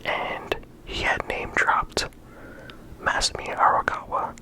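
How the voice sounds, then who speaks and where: An ASMR creator giving a whispered, unhurried character discussion, often pausing and restarting mid-sentence. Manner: unvoiced whisper throughout.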